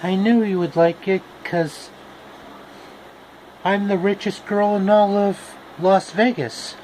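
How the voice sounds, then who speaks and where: A man's voice: high voice, fairly even intonation, takes it slowly, a little mellow, slight background noise, voice pushed up, poor audio. A man voicing a cheerful, boastful young girl adventurer in a chatty, self-assured way for a story.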